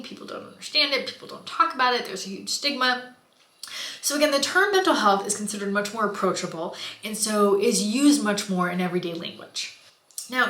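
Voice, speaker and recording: A woman's voice: medium pitch, sharp tone. Stuffy-nosed woman vlogging in an echoey room. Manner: chatty, with frequent fillers and apologetic asides. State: stuffy nose from a cold. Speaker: beauty and mental health vlogger. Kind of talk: casual talking-head explainer while doing makeup. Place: home in Goma, Africa.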